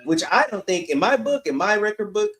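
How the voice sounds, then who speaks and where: A man's voice: sits high, big pitch swings, fairly quick, fairly bright tone. A man co-hosting a sports podcast, giving blunt, emphatic opinions in casual conversation. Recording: roomy, echoing sound.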